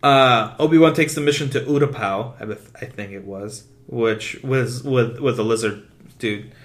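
Sweary, excitable male podcast co-host. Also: mid-range voice, normal speed. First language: not English. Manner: swears constantly, rambling and excitable. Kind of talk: casual chat.

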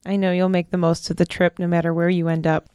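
A woman's voice: lowish voice, level tone, fast, darkish tone, clean tone, lightly acted. A woman acting a supportive, kindly young woman in a modern audio drama. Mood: gentle, encouraging.

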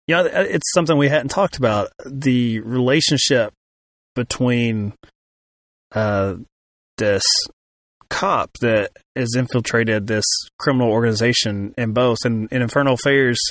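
A man's voice: mid-range voice, unhurried, pauses often, a bit bright, no room sound. A man co-hosting a casual film discussion, talking thoughtfully with frequent restarts and fillers. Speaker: film podcast co-host.

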